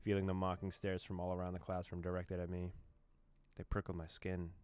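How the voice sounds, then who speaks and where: Deep-voiced man narrating a game. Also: monotone, brisk, a little mellow, clean tone. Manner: dramatic narration with amused asides. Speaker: gaming YouTuber. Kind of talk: reading a visual novel aloud with commentary.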